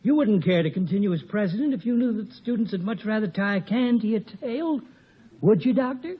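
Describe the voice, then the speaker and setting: Husky, high-voiced old male professor. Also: lively intonation, a little fast. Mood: blunt, wry. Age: 70s. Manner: folksy, blunt, chuckling. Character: seventy-year-old outspoken, cheerful, tobacco-chewing male professor. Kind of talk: 1950 radio comedy acting. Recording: muffled old radio recording.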